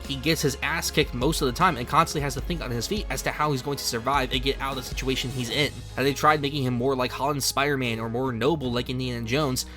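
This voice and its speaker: A man's voice: medium pitch, bright, forceful tone. A man narrating a scripted video essay in a conversational, emphatic and opinionated way. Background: music under the voice.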